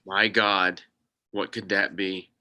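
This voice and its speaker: A man's voice: low voice, flat delivery, slow, sharp tone, lo-fi sound, exaggerated voice. A man playing a skeptical, practical young sidekick in a script read, with a wry, casual way of talking. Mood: alarmed. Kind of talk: table read of a TV pilot script.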